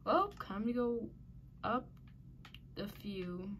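A woman giving casual commentary while playing a game, muttering and mocking herself in frustration, a little raspy at times. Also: medium pitch, expressive, takes it slowly, a little hiss or hum. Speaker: gaming channel host.

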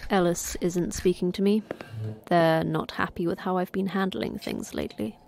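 A woman playing a composed, caring station manager in an audio drama, speaking in a measured, understated way. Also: on the low side, very animated, vocal fry, darkish tone, a little hiss or hum. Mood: composed, concerned. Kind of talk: naturalistic audio drama acting.

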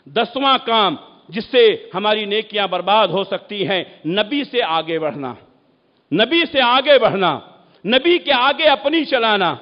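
High-pitched male preacher in echoing hall. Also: animated. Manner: emphatic, exhortatory, with rhetorical questions and repeated phrases. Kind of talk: Urdu Islamic sermon. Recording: muffled, long echoing hall reverb.

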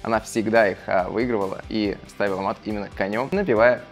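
A man's voice: fairly low pitch, fairly even intonation, fast, soft background music. A man presenting an educational video as a coach, retelling and explaining stories. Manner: explanatory storytelling.

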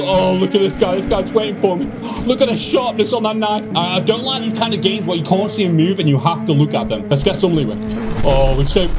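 A man with a high-pitched voice commentates a horror game playthrough. He is excitable and panicky, and tends to repeat short phrases. Loud game music plays behind him throughout. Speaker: gaming video creator. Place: indoor home gaming room.

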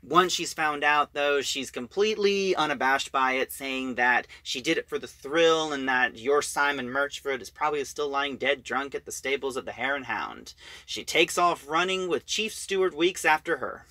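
Bright-voiced man recapping a story. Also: mid-range voice, no room sound. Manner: informal, halting retelling. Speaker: reviewer. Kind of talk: casual story recap for a review.

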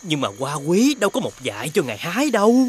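A man voicing a nervous, fawning servant in an animated fairy tale. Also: on the high side, fast talker, slight background noise. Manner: fawning, hesitant. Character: obsequious, nervous male servant of a lord. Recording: loud music behind the voice.

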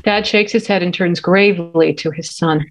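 A woman's voice: lowish voice, fairly even intonation, normal speed. A middle-aged woman reading stage directions as scripted narration at a table read. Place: home office, indoors.

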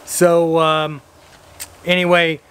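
A man's voice: fairly high pitch, completely flat delivery, takes it slowly, a bit bright, clear, resonant tone. An American man in his fifties giving a casual vlog-style product review to camera outdoors. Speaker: radio hobbyist vlogger and reviewer. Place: outdoors in a driveway by a vehicle, some parts inside a car.

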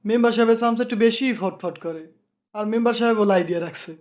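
Male actor as cartoon village youth, eager, complaining, high-pitched, quick delivery. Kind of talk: cartoon dialogue.

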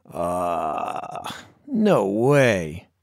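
A man's voice: low-pitched, huge pitch swings, drawn-out words, gravelly, no room sound, heavily acted. Audiobook part: dutiful, easily shocked boy, read by a man, hesitant, dry close sound. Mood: disgusted. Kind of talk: audiobook character dialogue.

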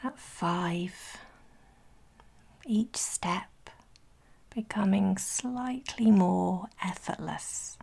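A woman leading a guided sleep meditation in a breathy, whispery soft voice, soothing and gentle, with long pauses between phrases. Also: medium pitch. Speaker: guided relaxation instructor.

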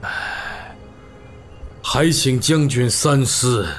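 An actor playing a shrewd royal minister in a historical audio drama, formal, deferential and persuasive. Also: male voice, mid-range voice, very slow, faint music behind. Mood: grave pleading. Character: shrewd, measured male royal chief minister and strategist.

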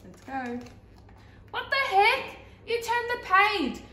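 Woman voicing a frantic, high-pitched pleading storybook character. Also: very animated, sharp tone, heavily acted. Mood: exasperated, outraged. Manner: dramatic, emphatic, drawn-out pleading. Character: pleading, exasperated talking picture-book character, increasingly frantic. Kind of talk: animated children's storybook read-aloud. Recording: echoey, roomy sound.

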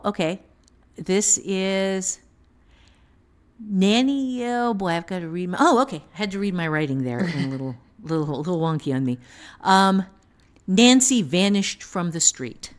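A woman giving unscripted teaching talk on a podcast, thinking aloud in a halting manner. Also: medium pitch. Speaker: writing teacher, author.

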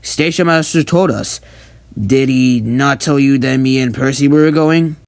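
Man as a sceptical, creaky-voiced tram engine. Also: medium pitch, a little slow, dry recording, studio quality, close to an everyday voice. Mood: indignant.

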